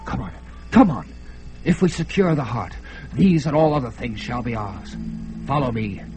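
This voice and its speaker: An actor playing a cunning, boastful master thief in a vintage radio drama, chuckling and giving orders. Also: male voice, mid-range voice, expressive, normal speed, over music, close to an everyday voice. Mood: urgent. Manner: chuckling, scheming, commanding.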